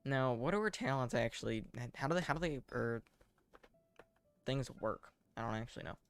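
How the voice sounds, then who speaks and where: A man giving casual live game commentary in a deadpan, self-deprecating way, muttering asides to himself. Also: mid-range voice, normal speed, clean tone. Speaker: game streamer.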